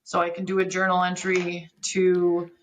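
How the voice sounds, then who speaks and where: A woman's voice: fairly low pitch, monotone, moderate pace, a little hiss or hum, rough recording. A woman giving a conversational technical explanation as an expert guest, walking through things step by step with examples. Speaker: podcast guest, business software expert.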